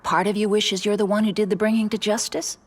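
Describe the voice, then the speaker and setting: A woman's voice: mid-range voice, level tone, fast, lightly acted. Woman playing a caring, earnest widowed aunt. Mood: earnest, reassuring. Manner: earnest, reassuring. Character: caring older widowed aunt, gently firm. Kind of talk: video game voice acting.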